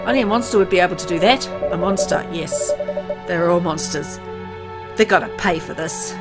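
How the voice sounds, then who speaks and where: A grieving father, bitter and haunted by guilt, voiced by a woman. The delivery is brooding and dramatic, as in a visual novel, with loud music underneath. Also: fairly low pitch, natural voice. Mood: vengeful, angry. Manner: dramatic, brooding, deliberate phrasing.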